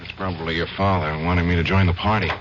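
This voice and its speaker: A man acting as a tired radio-drama lawyer who hides his unease. His voice sits fairly low, with a casual, deflecting manner. The recording is muffled and a little noisy. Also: level tone, quick pace, close to an everyday voice. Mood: uneasy, tired. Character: weary, evasive male trial lawyer hiding unease.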